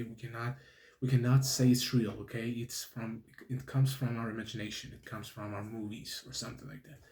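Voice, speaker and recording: A young man hosts his own podcast in English, his mellow voice picking its way through sentences with many restarts. He checks in often with a quick "okay?". The sound carries a noticeable room echo. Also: mid-range voice. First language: Persian. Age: 20s. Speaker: podcast host. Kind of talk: casual solo podcast monologue.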